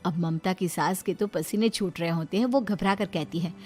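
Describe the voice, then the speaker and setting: A woman narrating a cartoon family story in a plain, steady storytelling way. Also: on the low side, normal speed, soft background music.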